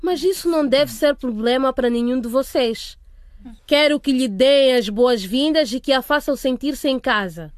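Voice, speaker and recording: Woman playing a firm, high-voiced school headmistress. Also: moderate pace, no room sound, natural voice. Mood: stern. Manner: commanding, formal. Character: authoritative, kind but firm school headmistress. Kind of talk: radio drama acting.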